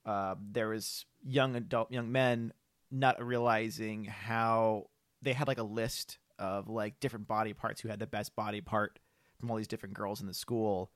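A man's voice: fairly low pitch, steady tone, normal speed, fairly bright tone, no echo. Man podcast host, close dry sound. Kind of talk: casual podcast conversation.

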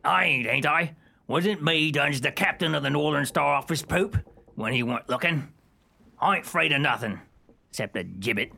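An actor playing a touchy, boastful pirate underling with stage pirate dialect in a comic radio play. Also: male voice, a little high, expressive, normal speed, creaky, bright, forceful tone, heavily acted. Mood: defensive, boastful.

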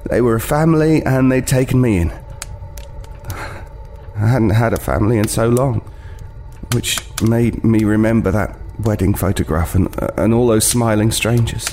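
A man's voice: lowish voice, normal speed, mellow, a little hiss or hum, lightly acted. An actor playing an uneasy homeless man recounting a frightening tale in an audio drama, hesitant and brooding, stumbling over words. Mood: uneasy, haunted. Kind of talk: audio drama first-person horror narration.

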